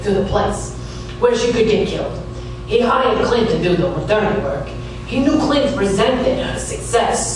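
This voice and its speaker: A man playing a world-weary, wisecracking private detective in a deadpan 1940s radio noir style. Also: sits high, creaky. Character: wry, world-weary male private detective narrating his case. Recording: roomy, distant stage recording with music.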